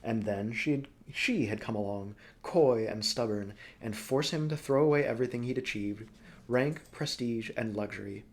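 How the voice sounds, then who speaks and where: Male storybook narrator, mid-range voice, expressive, deliberate, dramatic phrasing. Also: mid-range voice. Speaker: audiobook reader.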